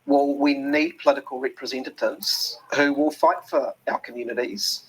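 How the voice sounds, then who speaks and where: A man's voice: fairly high pitch, sing-song, normal speed, gravelly, a bit bright, a little hiss or hum, low-quality recording. A man with a New Zealand accent, an interview guest talking earnestly with many ums and restarts. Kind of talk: reflective interview talk.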